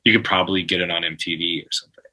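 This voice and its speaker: A man's voice: deep voice, fairly even intonation, racing, sharp tone, rough recording. A man guest on a podcast, telling stories in a rambling way with many restarts and fillers. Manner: laughs while telling stories. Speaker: musician guest. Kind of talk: casual podcast conversation.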